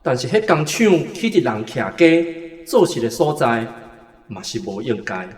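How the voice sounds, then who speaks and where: An actor in a radio drama playing a thoughtful village doctor, heard in calm inner monologue. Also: male voice, mid-range voice, unhurried. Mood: pensive. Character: thoughtful village doctor, middle-aged man. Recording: reverberant, hall-like echo.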